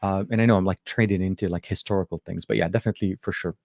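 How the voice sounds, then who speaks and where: A middle-aged man, born and raised in Lebanon with Arabic as his first language, hosting a casual livestream from home. His voice sits a bit low, recorded dry and close. He rambles in a friendly way, full of "um"s and restarts. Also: fairly even intonation, racing, creaky, a little mellow, no room sound. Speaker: tech show host. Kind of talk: casual livestream chat reading viewer comments.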